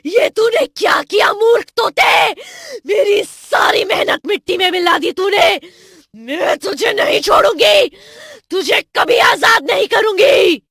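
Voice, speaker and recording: A woman voicing a wicked, domineering witch in a horror cartoon, with a menacing, shouting delivery. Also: extremely high pitch, lively intonation, a little slow, dry recording, studio quality, voice pushed up, exaggerated voice. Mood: furious. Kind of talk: Hindi horror cartoon villain acting.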